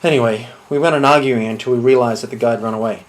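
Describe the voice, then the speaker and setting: A man's voice: medium pitch, steady tone, fast talker, pitched below the performer's own voice, close to an everyday voice. A man playing a baffled, pedantic castle soldier in an exasperated comic monologue. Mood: exasperated, incredulous.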